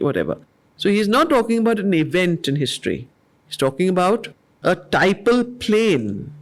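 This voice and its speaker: Woman leading a group study, slow deliberate reading of verse, earnest teacherly explanation. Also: medium pitch. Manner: slow, measured recitation that repeats lines of verse, then conversational explaining; earnest, asks the group questions and answers them herself. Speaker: study circle facilitator. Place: weekly study group gathering.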